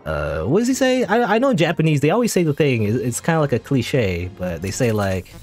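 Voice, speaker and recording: A man's voice: medium pitch, huge pitch swings, fairly quick, darkish tone, faint music behind. A man giving casual, rambling commentary while playing a video game, often correcting himself mid-sentence. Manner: rambling, chatty, self-correcting. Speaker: gaming channel host. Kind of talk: casual let's play commentary.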